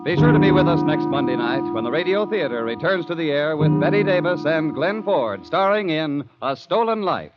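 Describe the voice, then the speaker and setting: A man's voice: a little high, very animated, moderate pace, hardly any pauses. A man announcing in a scripted 1940s radio style. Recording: lo-fi vintage radio broadcast. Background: faint music.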